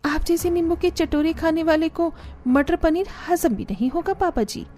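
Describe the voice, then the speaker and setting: A woman voicing an exasperated, sarcastic young daughter-in-law in a cartoon story, complaining with a sharp tongue. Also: very high-pitched, moderate pace, voice pushed up.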